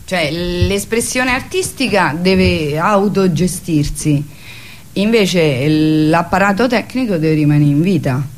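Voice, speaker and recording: A woman's voice: lowish voice, animated, slow. A woman being interviewed as a theatre artist, talking conversationally and reflectively, searching for her words.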